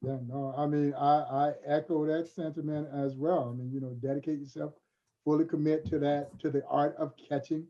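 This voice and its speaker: A man with a fairly high, very mellow voice, speaking as an emphatic storyteller to young listeners. He has an oratorical delivery, heard over a somewhat dull video-call connection. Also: little pitch movement, normal speed. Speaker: panelist, baseball historian. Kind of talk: animated storytelling on a panel.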